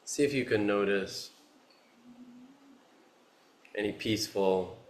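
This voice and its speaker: A man leading a guided meditation as a teacher, speaking in a soothing, unhurried manner with long pauses. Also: fairly low pitch. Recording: roomy, echoing space.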